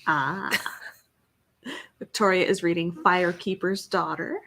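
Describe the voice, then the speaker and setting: Brief: young woman streamer, bright, slow easy delivery, reads chat and greets viewers. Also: fairly low pitch, steady tone. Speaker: livestream host. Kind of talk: casual livestream chat.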